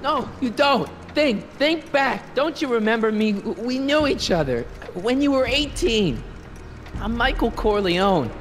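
An actor playing an earnest, exasperated teenage time traveler in an animated video game, talking casually and pleadingly. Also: male voice, extremely high pitch, measured pace, full character voice. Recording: long hall reverb, faint music.

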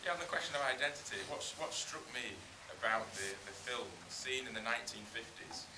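A man's voice: medium pitch, fairly quick. A man in the audience asks a long question at a screening, hesitant and searching, with many fillers. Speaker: audience member asking a question. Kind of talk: question from the floor. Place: cinema screening room. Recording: echoey room with hiss.

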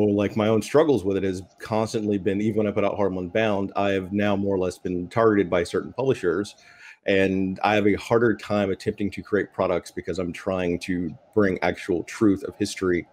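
A middle-aged man speaking in a measured, serious way as a panelist on a video call. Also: on the low side, a little mellow. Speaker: panelist, game creator. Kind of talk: panel discussion, earnest opinion. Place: room at home, on a video call. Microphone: video call microphone.

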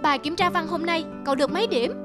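A woman's voice: very high-pitched, fast talker, over music. A woman voicing a boastful, competitive little schoolgirl in a cartoon. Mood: smug. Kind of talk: cartoon dialogue.

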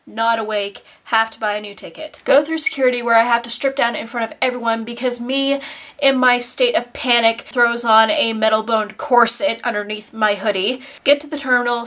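Casting: Canadian woman, twenties, fairly high voice, casual vlog storyteller, sardonic and self-mocking. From Nova Scotia, Canada. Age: 20s. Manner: animated, self-deprecating, sardonic. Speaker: vlogger. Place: small bedroom, indoors.

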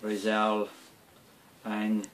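A young man in a dim bedroom slowly reading a bedtime story aloud by a crib, carefully sounding out and stumbling over words, caught on a nearby phone camera. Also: fairly low pitch, completely flat delivery. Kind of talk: halting read-aloud in a second language.

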